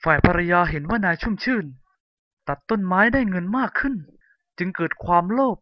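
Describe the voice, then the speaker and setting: A storyteller narrating a cartoon folk tale in a lively, expressive way. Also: medium pitch, a little fast, no room sound, highs cut off.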